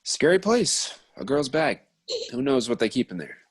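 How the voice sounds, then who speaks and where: A man's voice: mid-range voice, moderate pace, husky. A man voicing a young man at a party in an amateur table read, sounding exasperated. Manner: animated, exasperated.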